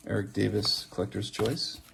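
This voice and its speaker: Low, gravelly man chatting casually. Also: steady tone, normal speed. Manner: offhand, reading out names and musing aloud. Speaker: host of a trading card opening channel. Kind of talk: casual running commentary while opening card packs. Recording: background hiss, handling clicks and card shuffling.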